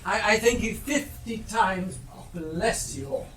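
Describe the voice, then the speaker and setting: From a distant, echoey stage comes a man playing an old reformed miser, high-voiced and effusive. He speaks slowly and stammers, eager to please and overflowing with goodwill, in amateur live theatre. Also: noisy background. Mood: eager, humble. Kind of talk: amateur stage play acting.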